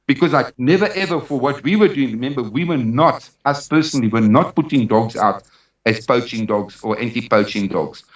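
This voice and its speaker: A man's voice: medium pitch, fairly quick. A man with a South African accent speaking as an interview guest, giving an emphatic personal account and repeating phrases for weight. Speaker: interview guest, dog trainer. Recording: roomy, distant, dull sound.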